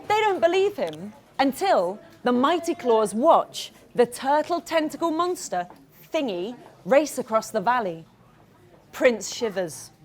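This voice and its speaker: A woman reading her storybook live to children in an animated, interactive way, pausing to address them and ask questions. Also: a little high. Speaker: children's author reading own book. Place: room with an audience of children.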